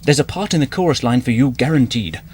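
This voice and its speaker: A man's voice: medium pitch, expressive, fast talker, darkish tone, a little hiss or hum, close to an everyday voice. A man playing a pompous, blunt theatre director in a radio comedy. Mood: excited. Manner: pompous, emphatic.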